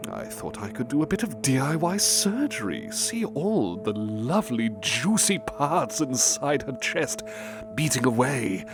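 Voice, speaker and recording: Prominent music plays under an unhurried, aristocratic serial killer in a horror audio drama. He confesses with gloating, theatrical relish, lingering on words and sinking toward a breathy hush. His pitch sits in a middle male register. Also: wildly swooping pitch, exaggerated voice. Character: refined, sadistic serial-killer nobleman confessing his crimes.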